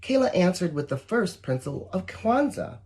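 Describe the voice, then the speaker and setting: Storybook reader, very animated intonation, expressive, talks directly to the kids between lines. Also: mid-range voice, moderate pace. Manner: expressive, addresses young listeners directly. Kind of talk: children's picture book read-aloud with asides.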